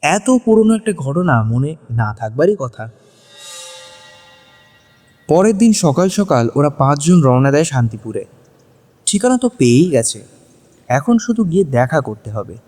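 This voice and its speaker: A man's voice: a little high, very animated, unhurried, pauses often, darkish tone. A man narrating a scripted story in a dramatic style, as in an audio drama. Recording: long hall reverb on voice. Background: music.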